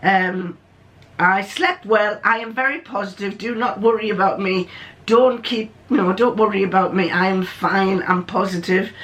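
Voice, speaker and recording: An older host with a deep voice, chatting casually in a rambling, digressive and matter-of-fact way. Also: measured pace, fairly bright tone. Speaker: video host.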